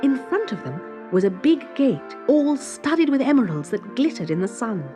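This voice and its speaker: A woman reading a children's story as narrator, in an expressive, dramatic storytelling manner. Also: on the high side, normal speed, over music.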